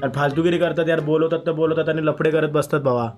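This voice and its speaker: A man's voice: a little high, flat delivery, fast, a little mellow, lo-fi sound, soft background music. A young man making a prank call in casual street slang, telling his story in an agitated, complaining way. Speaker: prank caller on a live stream.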